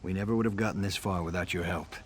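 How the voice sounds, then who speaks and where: An actor playing an earnest army commander in a fantasy video game, briefing in a firm, deliberate way. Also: male voice, low voice, steady tone, breathy edge. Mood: grave, concerned. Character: earnest male army commander, a worried father.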